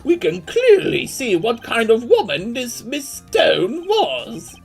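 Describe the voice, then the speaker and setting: A woman's voice: on the high side, wildly swooping pitch, slow, a little mellow, heavily acted. A woman voicing a smug, needling male prosecutor in a comedic game fandub, formal and condescending. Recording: faint game music behind.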